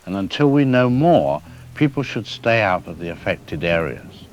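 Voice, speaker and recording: Man as a deep-voiced, cautious expert interviewee. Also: big pitch swings, highs cut off, a little hiss or hum. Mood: measured concern. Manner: careful, deliberate, hedging. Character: measured, cautious male wildlife expert doctor in news interview. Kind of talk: broadcast interview acting.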